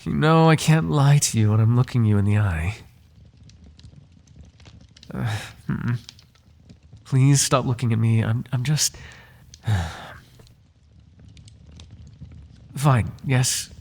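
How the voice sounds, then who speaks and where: A man's voice: mid-range voice, a little slow, vocal fry, long pauses, darkish tone. A man playing a devoted, lovesick butler in an intimate ASMR roleplay, flirting with his master in formal, courtly, teasing and tender words. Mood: flustered, jealous.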